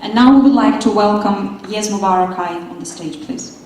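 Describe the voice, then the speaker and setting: A woman's voice: mid-range voice, normal speed, a little mellow, slight background noise. A woman interpreting and announcing speakers at an event in short, formal phrases. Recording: echoey hall, distant mic.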